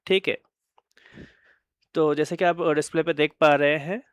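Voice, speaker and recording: A man's voice: a little high, fairly even intonation, moderate pace, clean tone, no echo. An Indian man giving an informal explanatory product presentation, hesitant, mixing in English phrases and often checking in with short tag words. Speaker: company presenter of wireless communication products.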